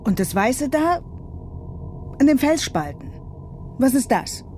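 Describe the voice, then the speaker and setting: A woman's voice: sits high, big pitch swings, slow pace, background noise, exaggerated voice. A woman playing a haughty, fussy, disdainful passenger in a radio play, with an imperious and affected manner. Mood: disdainful, bored. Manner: imperious, affected, easily scandalised. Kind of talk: German radio play dialogue.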